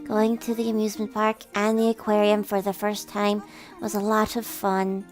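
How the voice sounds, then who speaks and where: A woman voicing a cool, quietly affectionate young cat girl with soft, smooth, understated delivery. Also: mid-range voice, monotone, moderate pace, soft background music, lightly acted. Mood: drowsy, content. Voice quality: soft, subdued.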